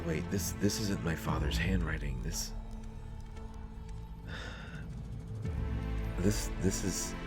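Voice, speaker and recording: A man playing a nervous, bookish survivor in a sci-fi audio drama, speaking haltingly with frequent stammers. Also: low voice, loud music behind the voice, close to an everyday voice. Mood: grave, worried. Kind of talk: scripted sci-fi audio roleplay, solo acting.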